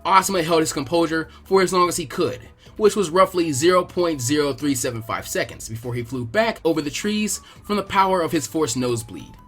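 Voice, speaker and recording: A man's voice: on the high side, fairly quick, hardly any pauses, a bit bright, soft background music. A man reading fan fiction aloud as a scripted narrator.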